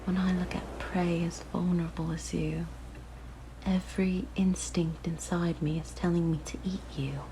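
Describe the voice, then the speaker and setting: A woman voicing a lonely, curious, predatory siren in an ASMR roleplay, with a soft, breathy, mostly whispered voice and a hushed, musing, gently menacing manner. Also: fairly low pitch, steady tone, normal speed, close to an everyday voice. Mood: hungry, menacing. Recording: faint background noise.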